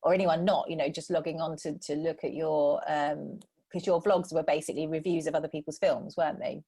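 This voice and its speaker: A woman's voice: low-pitched, fairly even intonation, a little fast, mellow, breathy edge. A woman hosting a friendly interview, asking questions in an encouraging, enthusiastic way. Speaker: podcast host. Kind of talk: casual interview chat.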